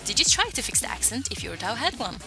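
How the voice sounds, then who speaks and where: Young woman with a vocal fry. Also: fairly high pitch, big pitch swings, fast, music in the background. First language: Swedish. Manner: chatty and self-deprecating, with filler "like" and asides. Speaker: voicebank creator answering fan questions. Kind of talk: casual Q&A vlog talk.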